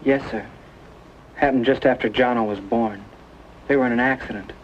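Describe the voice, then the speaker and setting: A man's voice: medium pitch, fairly even intonation, normal speed, close to an everyday voice. Protective, earnest American teen brother, played by a man, 1950s television drama, dull hissy sound. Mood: polite, subdued. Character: earnest, protective older brother in his late teens. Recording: muffled, noisy old 1950s TV soundtrack.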